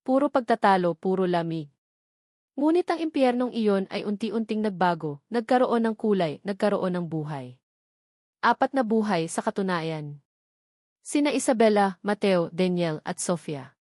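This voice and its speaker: A woman's voice: mid-range voice, animated, moderate pace, a little mellow, no room sound. Woman narrating a dramatic story, dry close sound. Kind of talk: scripted dramatic audio story narration in Tagalog.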